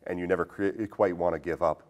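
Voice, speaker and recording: A man's voice: deep voice, steady tone, a little fast, highs cut off. A man hosting a podcast in a casual, conversational style, with an inquisitive way of talking. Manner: inquisitive, posing questions to his co-host. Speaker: film podcast host. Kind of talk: casual conversational film discussion.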